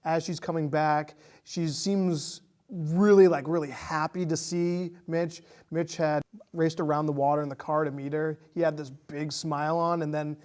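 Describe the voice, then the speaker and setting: A man's voice: fairly high pitch, fairly even intonation, normal speed, darkish tone. A man speaking as a podcast guest, chatting casually about films.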